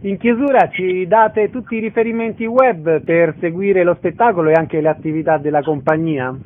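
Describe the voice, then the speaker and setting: A man's voice: sits high, normal speed. A man hosting a radio interview, courteously asking questions and giving orderly, formal announcements. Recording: narrow phone-like radio sound.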